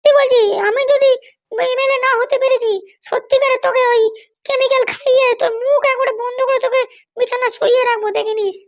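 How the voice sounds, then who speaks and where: A tiny, extremely high cartoon voice plays a bossy, short-fused wife with political ambitions. She rattles along quickly, scolding and threatening in coarse comic dialogue. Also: little pitch movement. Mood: furious, threatening. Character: hot-tempered, foul-mouthed wife running for election. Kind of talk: amateur comedy cartoon dialogue.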